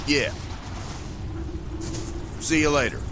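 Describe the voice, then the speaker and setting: An actor playing a gruff, cocky older fantasy warrior with dry humour in a video game cutscene. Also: male voice, on the high side, slow pace, background noise. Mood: relaxed.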